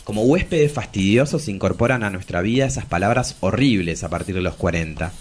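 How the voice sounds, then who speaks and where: An actor playing a bawdy, melancholic travesti poet in their forties in a theatrical, confessional radio drama. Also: male voice, lowish voice, lively intonation, brisk, slightly rough. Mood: weary, bitter. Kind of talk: radio drama dialogue and monologue.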